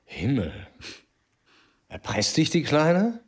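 Casting: worldly moneyed fixer, husky voice, casual confidence, radio thriller dialogue. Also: male voice, on the high side, huge pitch swings, slow, exaggerated voice. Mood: probing. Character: worldly, money-minded male fixer with big connections. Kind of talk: German radio drama dialogue.

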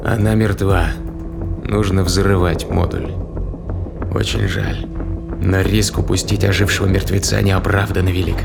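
A man playing an excitable, anxious spaceship captain in a science-fiction audio drama, talking in an emphatic, colloquial way. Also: deep voice, a little slow, creaky, over music, voice pushed down, full character voice. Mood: grim, resigned.

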